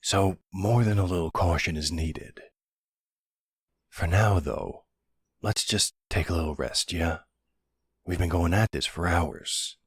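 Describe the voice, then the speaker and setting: A man playing a nervous, affectionate boyfriend in an intimate audio roleplay, hesitant and gently teasing. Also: sits low, slow, pauses often, husky, no echo, clean studio sound, exaggerated voice. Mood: tender, tired.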